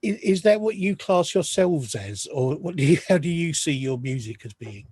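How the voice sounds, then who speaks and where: A man's voice: fairly high pitch, animated, moderate pace, darkish tone, breathy edge, no room sound, clean studio sound. A man hosting a music podcast, chatting casually, with many restarts and apologetic asides. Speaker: podcast host. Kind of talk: casual interview chat.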